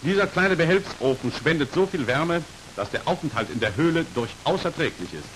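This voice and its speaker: A man's voice: a little high, a little fast, low-quality recording. A man narrating a 1940s instructional film in a formal, precise, matter-of-fact voice, on an old hissy soundtrack. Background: steady hiss.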